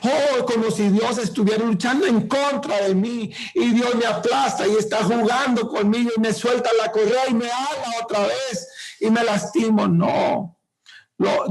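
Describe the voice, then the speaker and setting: Male preacher, high gravelly voice, impassioned sermon delivery. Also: low-quality recording. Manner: impassioned, drawn-out emphatic phrasing; speaks directly to the congregation.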